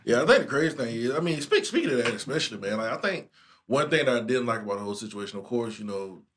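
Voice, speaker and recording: Podcast host, male, easygoing and chatty, average-pitched voice. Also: very animated, fast, a bit bright, a little hiss or hum. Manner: laid-back, drawling slang, frequent laughs. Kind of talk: casual chat.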